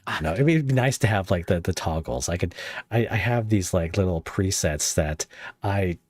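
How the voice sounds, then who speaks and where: A man's voice: low-pitched, expressive, normal speed, darkish tone. A man hosting a podcast interview, chatty and explaining terms for listeners. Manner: explaining, chatty, self-deprecating. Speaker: podcast host. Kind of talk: casual interview chat. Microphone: headset.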